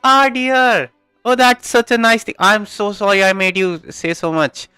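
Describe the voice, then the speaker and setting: A man's voice: high voice, fairly even intonation, measured pace, bright, forceful tone, smooth, no echo, soft background music. A man with an Indian accent chatting casually with viewers on a gaming livestream, informal and hesitant, often repeating himself.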